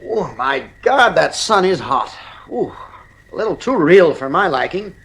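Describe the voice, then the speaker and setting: An actor playing an irritable, stubborn father and husband in a radio drama, with a gruff, commanding manner. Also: fairly high pitch, very animated, unhurried, fairly bright tone, full character voice, rough recording. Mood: uneasy wonder.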